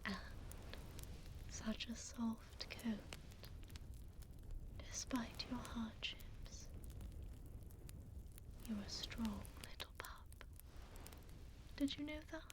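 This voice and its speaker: A woman's voice: fairly high pitch, extremely slow, long pauses. A woman playing a regal, tender vampire duchess doting on a pup, whispering breathily into a close binaural mic in formal, old-fashioned phrasing for an ASMR roleplay. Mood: soft, soothing. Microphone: close binaural microphone.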